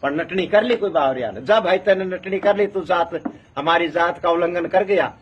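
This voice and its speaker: A man's voice: sits high, moderate pace, highs cut off, slight background noise. A man with a rural Haryana-Rajasthan accent telling oral history as a traditional community storyteller, emphatic and repeating phrases in a building chant. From North India.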